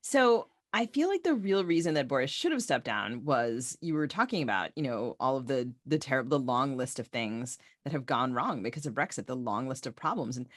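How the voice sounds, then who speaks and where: A woman with a very deep voice co-hosts a casual interview. Her intonation swings widely as she puts informal questions. The sound is dry and close. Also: dry recording. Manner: informal, animated. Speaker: podcast co-host.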